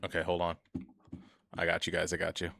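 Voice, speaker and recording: A man's voice: low voice, completely flat delivery, brisk, gravelly, sharp tone, no room sound. A young man streaming a game, rambling and swearing as he chats to viewers about himself. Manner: rambling, profane, chatty, often laughs. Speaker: gaming streamer. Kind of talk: casual gameplay commentary.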